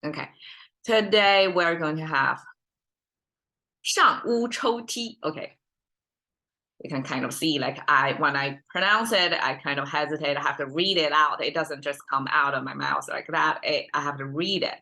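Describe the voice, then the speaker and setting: Bright-voiced woman teaching, low-pitched. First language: Mandarin Chinese. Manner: thoughtful, halting, with frequent fillers and restarts. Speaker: host and language teacher. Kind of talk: casual teaching monologue.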